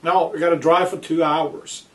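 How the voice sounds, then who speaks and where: A man reading his own book aloud with commentary, speaking in deliberate, emphatic phrases broken by pauses. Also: fairly high pitch, little pitch movement. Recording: distant microphone.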